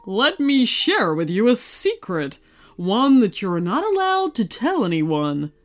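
Woman acting grand old storybook wizard, excitable, friendly, drawn-out delivery, swooping pitch, slow pace. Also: soft background music, exaggerated voice. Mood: mysterious. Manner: theatrical, slow, drawn-out exclamations. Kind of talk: children's storybook character voice.

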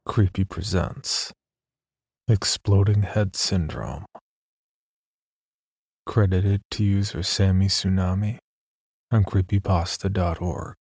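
A man's voice: low voice, fairly even intonation, slow pace, pauses often, darkish tone, slightly rough, no room sound, studio quality. A man narrating a scripted horror story as a podcast reader.

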